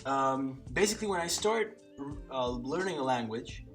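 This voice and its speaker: A man's voice: mid-range voice, expressive, normal speed, a bit bright, faint music behind. A man whose first language is Slovak, talking casually to camera by his bookshelf, rambling with many fillers and restarts. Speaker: polyglot vlogger. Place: small room by a bookshelf lit by a lamp.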